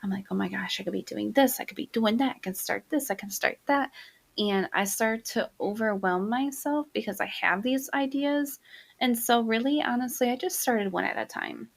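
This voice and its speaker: A young woman hosting her own podcast, talking casually and soft-spoken, with lots of fillers. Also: on the high side, moderate pace, creaky. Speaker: podcast host. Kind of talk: casual solo podcast monologue.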